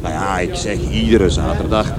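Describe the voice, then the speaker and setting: Casting: working-class man, played by an actor, lowish voice, plain and dogged, radio play set amid loud street crowd. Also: normal speed, close to an everyday voice. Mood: matter-of-fact, chatty. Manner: colloquial, repetitive, matter-of-fact. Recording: busy street crowd and traffic behind.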